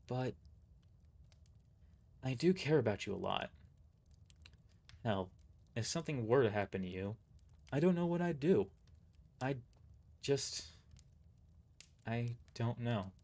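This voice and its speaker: A man voicing a guarded, blunt but caring young agent in an audio drama, halting and conflicted. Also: mid-range voice, expressive, darkish tone. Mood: awkward, conflicted. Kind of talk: amateur audio drama dialogue.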